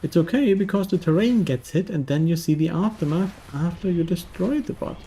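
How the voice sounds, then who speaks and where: Mellow, wry man reviewing games. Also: high-pitched, brisk, slight background noise. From Germany. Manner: dry, wry. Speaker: game reviewer. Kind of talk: casual scripted review narration.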